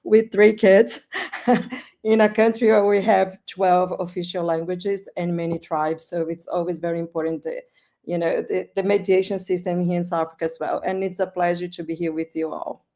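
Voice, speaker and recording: Low-voiced Brazilian woman executive. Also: level tone, normal speed, warm tone. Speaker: business executive. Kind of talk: panel self-introduction.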